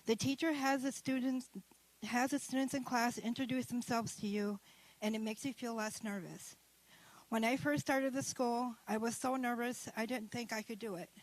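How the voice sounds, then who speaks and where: Woman, higher pitch, dry close sound, reads her own essay at a podium, careful with small restarts. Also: dry recording, low-quality recording. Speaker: graduating adult student. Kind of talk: reading her own essay aloud at a ceremony. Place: ceremony hall.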